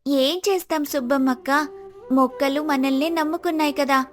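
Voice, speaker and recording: A woman voicing a gentle, devoted, hardworking village wife in an earnest, respectful manner for a moral story. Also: sits high, steady tone, normal speed, soft background music, natural voice. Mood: earnest, firm.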